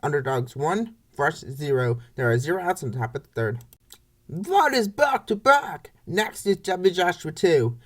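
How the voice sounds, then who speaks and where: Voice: medium pitch, very animated, unhurried, fairly bright tone. A baseball play-by-play announcer reading scripted commentary for a comic dub in short, clipped phrases.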